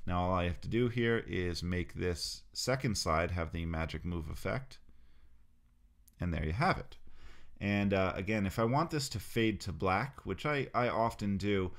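Man, low voice, software tutorial narration, relaxed step-by-step. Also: a little slow. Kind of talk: screen-recorded software tutorial.

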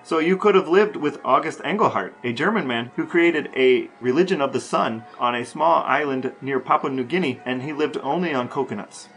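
A man's voice: medium pitch, normal speed, few pauses, fairly bright tone, soft background music. A man co-hosting a podcast, asking questions in a casual, conversational interview style. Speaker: podcast co-host.

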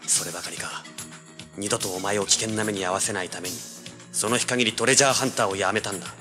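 A tough young adventurer played by a man tells and lives his story in a low voice. He is cocky and hard-boiled, in audio drama style, with music playing clearly behind him. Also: fast talker, close to an everyday voice. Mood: bitter, hard. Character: brash, tough young male treasure hunter narrating his adventure. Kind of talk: 1980s audio drama acting with first-person narration.

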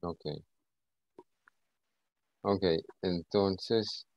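A man teaching an informal online lesson, speaking haltingly and often repeating his words. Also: deep voice, steady tone, no room sound. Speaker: English teacher. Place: indoor video call. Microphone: video call. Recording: dull, lo-fi call audio.

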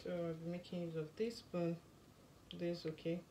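A woman hosting a skincare tutorial, talking through each step in a soft, lowered late-night voice and often saying "okay, so". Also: level tone, a little slow. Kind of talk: casual step-by-step demonstration. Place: indoor work table. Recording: clear background noise with clicks.

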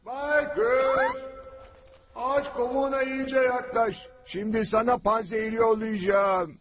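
A man's voice: extremely high pitch, flat delivery, takes it slowly, fairly bright tone, slight background noise, exaggerated voice. A man voicing an earnest, tinkering stick-insect scientist in a cartoon, explaining things methodically. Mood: eager, calling out. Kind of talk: animated cartoon dub. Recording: music behind dialogue.

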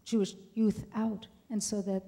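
A woman's voice: mid-range voice, level tone, a little slow, dark tone. A woman in the audience asking a panel a question, hesitant and rephrasing as she goes. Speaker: audience member.

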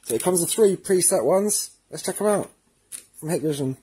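Man, fairly high pitch, soft dark tone, casual tutorial narration, chatty, step-by-step. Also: animated, moderate pace, husky. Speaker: host of a how-to video series.

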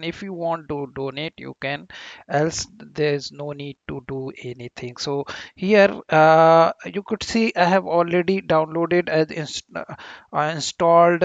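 A man hosting an informal software tutorial voice-over, speaking haltingly with very frequent hesitations and repeated words. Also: on the high side, dry recording, lo-fi sound. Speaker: tutorial host. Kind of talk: informal screen-recorded software tutorial narration.

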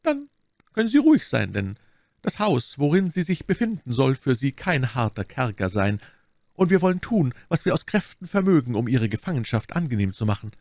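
A man voicing a gentle, curious abbess in an audiobook, speaking politely and formally with solicitous care. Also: mid-range voice, sing-song, moderate pace, no echo, clean studio sound, voice pushed up. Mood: reassuring. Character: abbess, gentle, curious, sympathetic pious woman. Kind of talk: audiobook character dialogue.

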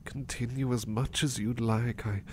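A man playing a gentle, sleepy, devoted servant in an intimate ASMR roleplay, speaking softly and hesitantly in murmurs and whispers. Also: medium pitch, steady tone, moderate pace, close to an everyday voice. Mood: drowsy, grateful. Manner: soft, drowsy, hesitant, often murmured or whispered. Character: gentle, sleepy, devoted male servant comforting and being comforted. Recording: noticeable background noise.

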